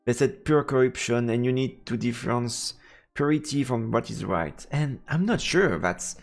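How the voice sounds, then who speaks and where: Gravelly male streamer. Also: mid-range voice, lively intonation, normal speed. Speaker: game streamer. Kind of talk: live let's play, commentary and reading aloud.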